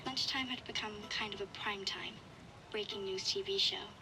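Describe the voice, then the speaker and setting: A woman playing a wary, reflective young girl who narrates an audio diary in a measured, matter-of-fact way. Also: mid-range voice, noisy background, natural voice. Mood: somber, reflective. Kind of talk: first-person audio log narration.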